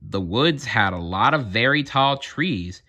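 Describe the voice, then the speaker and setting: A man reading a children's story aloud in an expressive narrating style. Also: mid-range voice, unhurried. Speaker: storybook reader.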